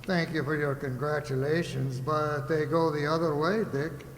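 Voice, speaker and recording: A man's voice: fairly high pitch, level tone, normal speed, close to an everyday voice. A man playing a hearty, kindly middle-aged merchant captain and father in a radio play. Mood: hearty, proud. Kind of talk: stage-like radio play acting. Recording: echoey hall, faint noise.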